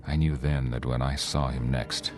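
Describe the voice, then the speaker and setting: An actor playing an anxious motorist who narrates his drive in an old-time radio drama, intense and halting as his nerves rise. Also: rumbling low, steady tone. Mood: brooding. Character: haunted, anxious male motorist recounting his cross-country drive. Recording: vintage radio recording with music underneath.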